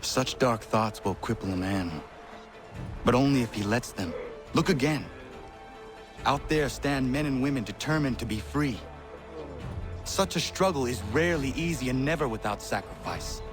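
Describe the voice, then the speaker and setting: An actor playing a steadfast, earnest young warrior in a game cutscene, with stiff, formal delivery. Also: male voice, medium pitch, animated, normal speed, pauses often, over music, lightly acted. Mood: earnest, urging. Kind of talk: dramatic video game cutscene acting.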